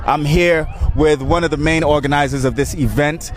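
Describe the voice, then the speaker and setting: A man's voice: on the high side, steady tone, normal speed. A man presenting and interviewing on location outdoors in a chatty, informal style. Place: outdoors at a community sports tournament. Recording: outdoor event with music behind.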